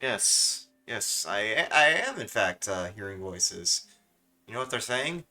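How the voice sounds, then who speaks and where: Bright-voiced wisecracking male streamer. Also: fairly low pitch, lively intonation, takes it slowly, soft background music. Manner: wisecracking, mock-indignant. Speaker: streamer playing a video game. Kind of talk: casual joking game commentary.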